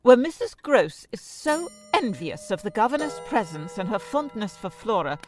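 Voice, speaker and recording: A formal, precise announcer played by a woman in an audio drama. Her intonation is lively and varied, and music plays clearly behind her. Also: a little high, normal speed. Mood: insistent. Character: stubborn, opinionated woman interrupting a production to correct it.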